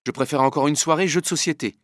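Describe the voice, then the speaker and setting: A man's voice: fairly high pitch, fast talker, fairly bright tone, no room sound, clean studio sound, lightly acted. A man playing an easygoing but opinionated husband in an acted listening-exercise dialogue. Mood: reluctant. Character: husband who dislikes costume parties, easygoing but opinionated.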